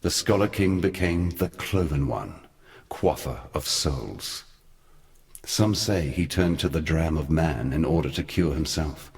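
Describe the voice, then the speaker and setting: Sin, a very deep brooding god. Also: male voice, lively intonation, gravelly, darkish tone, full character voice. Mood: wry, brooding. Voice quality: very deep, weighty voice. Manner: measured, theatrical, wry storytelling. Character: Sin, ancient brooding male god, sardonic and eloquent. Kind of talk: scripted video game character monologue.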